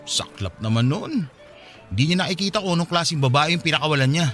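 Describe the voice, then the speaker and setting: Lively, quick young Filipino man played by an actor. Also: on the high side, faint music behind. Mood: teasing, curious. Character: friendly 27-year-old man, settled, planning to marry. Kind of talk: Tagalog radio drama dialogue.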